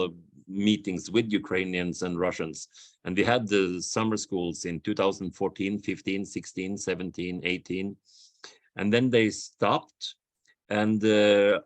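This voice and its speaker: A man speaking as a panelist in a webinar, slow and measured, repeating phrases for emphasis over a video call. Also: lowish voice, dry recording. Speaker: dialogue practitioner, panelist. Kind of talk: webinar talk, reflective.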